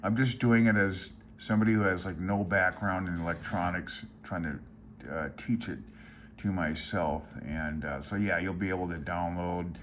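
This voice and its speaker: A man's voice: low-pitched, steady tone, normal speed, a little hiss or hum. A man hosting a hobby channel, talking in a casual, rambling way with a folksy, self-deprecating manner. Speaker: hobbyist radio channel host. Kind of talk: casual rambling vlog talk.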